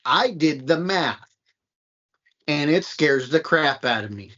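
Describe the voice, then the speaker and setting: A man in his late thirties hosting a podcast, telling stories animatedly with high exclamations. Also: expressive, slow pace, a bit bright. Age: late 30s. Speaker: podcast host. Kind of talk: casual chat. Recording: dull lo-fi sound.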